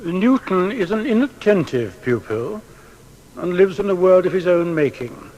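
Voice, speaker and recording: An actor playing a stern schoolmaster in an audio biography, making slow, deliberate pronouncements. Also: male voice, high voice, very animated, a little mellow. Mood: solemn disapproval. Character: stern male schoolmaster reporting on a pupil. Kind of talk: dramatised biography acting. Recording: long reverberant hall echo.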